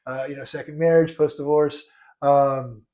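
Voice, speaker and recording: Higher-voiced guy co-hosting, earnest, keeps restarting to be clear. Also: steady tone, normal speed, warm tone. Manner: earnest, stresses being clear, restarts often. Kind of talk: casual podcast chat.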